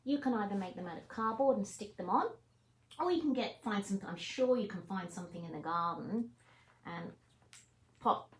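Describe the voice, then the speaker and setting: A woman presenting children's story time, talking through a craft in a gentle, encouraging teacher's way. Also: medium pitch, animated, normal speed, echoey room, rough recording. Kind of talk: children's craft demonstration and chat.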